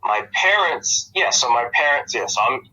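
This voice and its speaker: Husky young American man, lo-fi call. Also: medium pitch, expressive, normal speed, sharp tone. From United States. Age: young adult. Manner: rambling, many fillers and restarts. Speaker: guest. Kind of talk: casual podcast interview.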